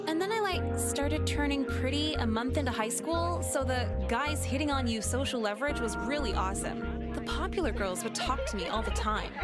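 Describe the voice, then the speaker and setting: A high-voiced teenage high school girl tells her story with cynical, blunt sarcasm. She is a sweary, conversational sociopath from a visual novel game, with music clearly playing behind her. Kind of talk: visual novel voice acting, narration.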